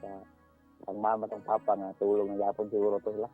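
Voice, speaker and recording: A man's voice: on the low side, completely flat delivery, quick pace, slightly rough. A man calling a radio show, chatting casually and searching for his words. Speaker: radio show caller requesting a song. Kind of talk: casual phone chat. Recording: dull lo-fi phone call on radio. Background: faint music.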